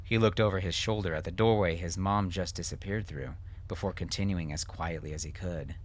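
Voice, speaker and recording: A man's voice: low voice, fairly quick, a little hiss or hum. A man reading scripted fiction as an audiobook narrator.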